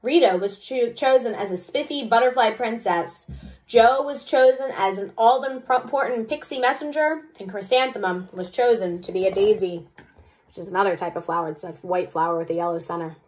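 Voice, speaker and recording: A woman teacher reads a children's story aloud in a mid-range voice. Her reading is expressive, and she slips into casual, chatty asides to explain things to young listeners. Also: medium pitch, moderate pace, rough recording. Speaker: second-grade teacher.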